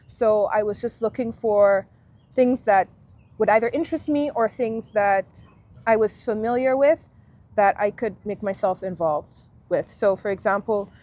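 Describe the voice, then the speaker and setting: A woman in her twenties from Guyana, a university alumna, talking through her experiences in a relaxed, reflective way as an interview guest. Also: medium pitch, measured pace. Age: 20s. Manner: reflective, friendly, thinks aloud with fillers. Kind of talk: casual interview chat.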